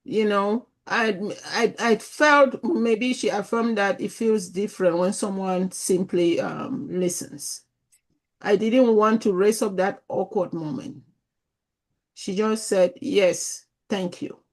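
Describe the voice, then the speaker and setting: A woman's voice: mid-range voice, steady tone, takes it slowly. A woman from Africa, a chaplain in a peer review group, who talks through her thoughts in broken, restarting phrases. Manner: warm, restarting, thinks aloud in fragments. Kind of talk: group discussion.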